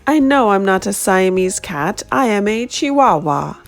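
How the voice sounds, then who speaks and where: A woman's voice: mid-range voice, sing-song, measured pace. A woman voicing a mischievous young boy cat who thinks he is a Chihuahua, speaking with a put-on Spanish accent in a playful sing-song.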